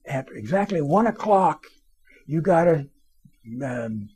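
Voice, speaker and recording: A man's voice: a little high, lively intonation, takes it slowly, darkish tone, breathy edge, voice pushed up, exaggerated voice. A man playing a bewildered, plain-spoken radio disc jockey in a told anecdote, incredulous and questioning. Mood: hopeful, showy.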